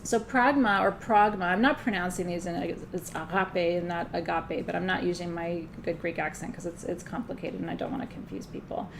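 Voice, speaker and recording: A woman's voice: on the low side, steady tone, brisk, creaky, few pauses, noisy background. A woman teaching a live spiritual class in a loose, conversational way, often checking in with her listeners. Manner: loose, frequent fillers and restarts.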